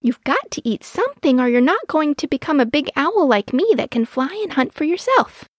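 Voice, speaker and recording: A woman's voice: high-pitched, very animated, moderate pace, no echo, clean studio sound, voice pushed up. A woman voicing a patient mother owl in a storybook, coaxing and instructive in manner. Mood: giving in.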